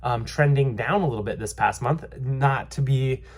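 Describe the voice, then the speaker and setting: A young man, nasal and stuffy from a head cold, talks through local housing numbers in a loose, rambling way. He fills gaps with ums and restarts, keeping an upbeat, reassuring tone at an ordinary, mid-range pitch. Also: moderate pace. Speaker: realtor giving a market update. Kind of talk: casual vlog market commentary.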